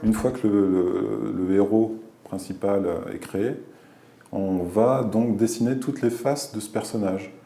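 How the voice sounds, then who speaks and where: Man, animation designer interviewee; dark low voice, echoey room, hesitant. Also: steady tone, measured pace, creaky. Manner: hesitant, repeats words, many fillers. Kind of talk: documentary interview explanation.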